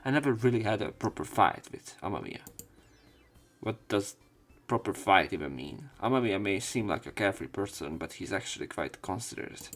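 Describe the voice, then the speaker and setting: A man with a low voice narrates a visual novel for a let's play. His delivery is casual and offhand, moving between story reading and his own commentary, with faint music behind him. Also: expressive, normal speed, fairly bright tone.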